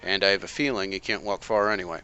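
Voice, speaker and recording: A man playing a calm, dry vigilante detective. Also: sits low, smooth, rough recording. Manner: measured, analytical, dry. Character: calm brilliant masked vigilante detective, wry. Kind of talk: amateur motion comic voice acting.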